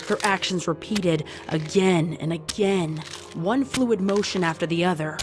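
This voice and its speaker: A woman narrating a scripted horror story in a deliberate, dramatic way. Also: lowish voice, faint music behind.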